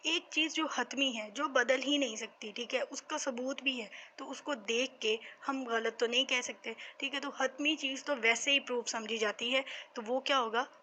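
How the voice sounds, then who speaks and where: Woman, Pakistani accent, high bright voice, informal lecturer, question-and-answer delivery, slightly noisy recording. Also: fairly even intonation, normal speed, few pauses. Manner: conversational, asks and answers own questions. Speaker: law teacher.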